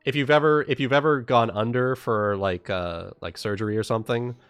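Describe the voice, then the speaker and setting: A man narrating a tabletop game as game master, halting and repeating phrases as he improvises, with faint music behind him. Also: mid-range voice, steady tone, a bit bright, smooth, dry recording.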